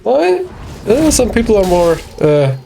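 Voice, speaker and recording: An Austrian man with German as his first tongue hosts a casual gaming interview over a voice call. His pitch is mid-range but very animated, his speech is halting and full of restarts, and a cough sits in his voice. Faint room ambience hums behind him. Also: creaky, a little hiss or hum. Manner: lively, halting, laughs often. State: has a cough. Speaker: interviewer and channel host.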